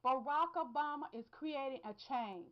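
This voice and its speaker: High-voiced Southern woman in a crowd. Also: normal speed, muffled recording. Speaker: radio show host reporting. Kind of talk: reflective spoken commentary. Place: outdoors in a large crowd.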